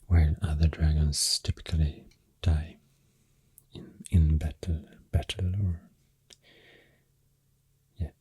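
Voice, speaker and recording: A man with a Swedish accent, rambling softly and hesitantly in soothing ASMR, breathy and often near a whisper, close to the mic. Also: extremely low pitch, little pitch movement, slow, long pauses, dry recording. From Sweden. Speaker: ASMR creator. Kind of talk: soft-spoken ASMR rambling. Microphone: close microphone in a dry space.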